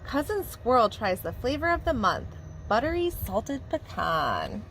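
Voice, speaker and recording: A woman preschool teacher reading a picture book aloud to young children in a warm, expressive storytelling manner. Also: a little high, unhurried, a little hiss or hum, low-quality recording.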